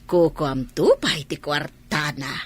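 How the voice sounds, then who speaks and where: A woman's voice: low-pitched, steady tone, slow pace. A woman playing a scheming, gleeful mother-in-law in a radio drama, with an Ilocano accent. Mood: gleeful, spiteful.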